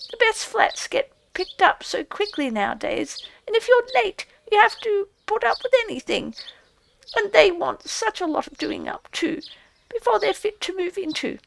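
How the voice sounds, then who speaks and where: A woman voicing a busy, fussy little field mouse for an audiobook, with a primly apologetic, hurried manner. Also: very high voice, vocal fry, slight background noise, voice pushed up, heavily acted. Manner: hurried, stiff, shamefaced. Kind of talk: audiobook character voice.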